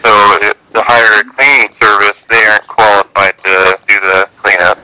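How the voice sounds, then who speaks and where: Very bright, sharp man on telephone. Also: fairly low pitch, fairly even intonation, normal speed, clean tone, no echo. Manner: matter-of-fact, firm. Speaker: cleanup company phone representative. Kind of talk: customer service phone call. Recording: dry, sharp telephone line.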